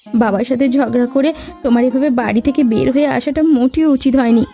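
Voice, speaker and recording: Woman acting a high-voiced gentle young wife. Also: fairly quick, faint music behind. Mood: gentle concern. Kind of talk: Bengali fairy-tale cartoon dubbing.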